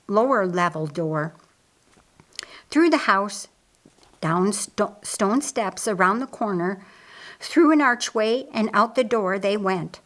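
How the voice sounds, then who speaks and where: A woman reading historical fiction aloud as an expressive audiobook narrator. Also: mid-range voice.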